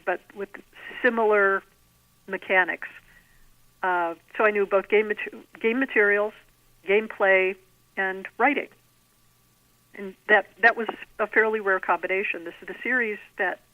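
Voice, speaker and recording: Middle-aged female author, interviewed by phone; low-pitched, articulate and discursive, thin narrowband sound. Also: no room sound. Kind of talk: conversational interview answers. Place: remote call into a podcast. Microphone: telephone. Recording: narrow tinny phone line.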